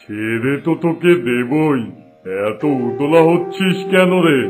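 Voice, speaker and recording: A man's voice: on the high side, expressive, slow. A man playing a cruel, taunting tantric sorcerer who gives commands in a fairy-tale cartoon. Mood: cruel, gloating. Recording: voice under loud background music.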